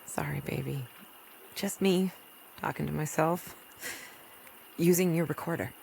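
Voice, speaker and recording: A dogged country doctor in a post-apocalyptic audio drama. Her voice is low for a woman, weary, blunt and wry, delivered naturally over a faint bed of noise. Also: unhurried, vocal fry, darkish tone, exaggerated voice, rough recording. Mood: tender, tired.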